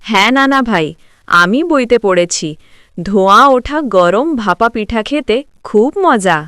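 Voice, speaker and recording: A woman's voice: mid-range voice, expressive, measured pace, fairly bright tone, clear, resonant tone, no room sound, studio quality. A woman voicing an eager, affectionate young city girl in a cartoon. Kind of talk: cartoon dialogue.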